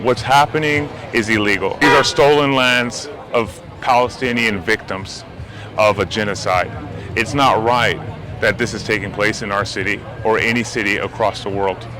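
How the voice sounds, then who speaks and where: A man's voice: lowish voice, fairly even intonation, moderate pace. A determined man protester interviewed outdoors on a city street. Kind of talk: interview at a rally.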